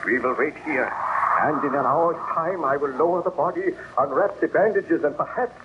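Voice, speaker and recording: A man's voice: fairly high pitch, moderate pace, close to an everyday voice. A man playing an obsessive, grave baron scientist in a formal, theatrical old radio horror drama. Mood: grave, expectant. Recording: very noisy, muffled old radio recording.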